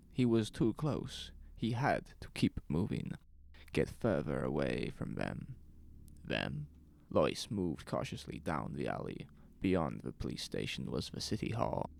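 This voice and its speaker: A man delivers dramatic, suspenseful horror narration at a slow, deliberate pace. His voice is deep and gravelly, with faint noise and ambience underneath. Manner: suspenseful, deliberate with weighted pauses.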